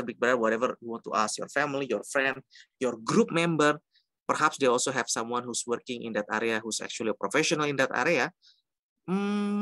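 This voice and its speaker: A man with an Indonesian accent teaches a class in a medium-pitched voice. He is informal and jokey, slipping into acted-out quotes and asides and dropping in Indonesian words. The sound is dry, with very little room in it. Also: sharp tone, dry recording. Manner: mixes Indonesian words into English. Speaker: university lecturer. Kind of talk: informal interactive lecture.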